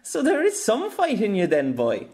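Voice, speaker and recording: A man's voice: high-pitched, huge pitch swings, moderate pace, darkish tone, voice pushed up, exaggerated voice. A man voicing a jovial, teasing veteran swordmaster in a fantasy audiobook. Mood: taunting. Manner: playful, teasing.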